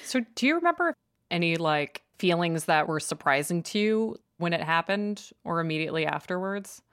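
A woman hosting a podcast and asking gentle, probing questions. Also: fairly low pitch, lively intonation, normal speed, smooth, no echo, clean studio sound. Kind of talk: interviewing.

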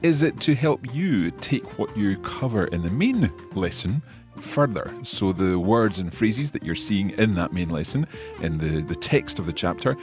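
A man hosting a podcast as a French teacher, explaining a course in a measured, explanatory way and slipping between English and French. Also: lowish voice, expressive, soft background music. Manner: measured, explanatory, with some restarts.

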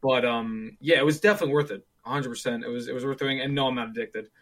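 A man's voice: medium pitch, fairly even intonation, fast talker, fairly bright tone. A man in his twenties chatting casually about gaming, rambling with lots of fillers and restarts. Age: 20s. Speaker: streamer.

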